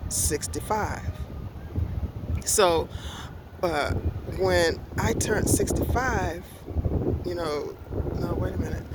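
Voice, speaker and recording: A woman's voice: fairly low pitch, background noise. A woman in her sixties telling her own story in a casual, rambling way, with many fillers and self-corrections, speaking outdoors in a park. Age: 60s. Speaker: vlogger.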